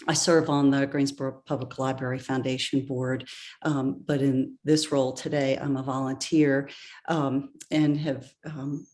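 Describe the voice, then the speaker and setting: Low, flat-voiced woman volunteer. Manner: earnest, frequent ums. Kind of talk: casual remarks on a video call. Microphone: video call.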